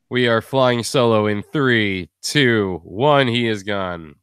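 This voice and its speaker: A man's voice: on the low side, slow pace, fairly bright tone, clear, resonant tone, dry recording, clean studio sound. A man hosting a live stream in a casual, rambling, joking way. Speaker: host.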